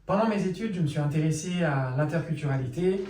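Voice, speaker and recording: A middle-aged man from Mali, a psychologist answering interview questions in calm, measured explanations. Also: a little high, a little hiss or hum. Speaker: psychologist guest. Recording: echoey room, from far off.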